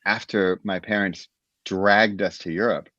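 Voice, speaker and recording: A man's voice: deep voice, fairly even intonation, a little slow, pushed and bright, no room sound, dull audio. An American man chatting as a podcast guest, telling stories with frequent restarts and rambling asides. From United States. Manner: rambling, restarts often, storytelling. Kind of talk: casual interview chat.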